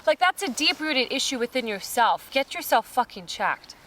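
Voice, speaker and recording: A woman's voice: high voice, very animated, normal speed, pushed and bright, far from the mic. A young adult woman from the United States hosting a podcast, venting emphatically in casual chat. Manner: emphatic, venting, pointed asides. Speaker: podcast host.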